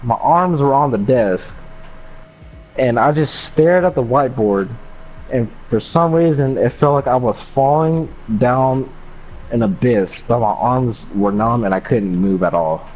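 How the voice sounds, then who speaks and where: A young adult man with an American accent tells a rambling story in casual, sweary slang. His voice sits at a middling pitch. The recording is dull and lo-fi, with faint noise behind it. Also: measured pace, darkish tone. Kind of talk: casual anecdote storytelling among friends.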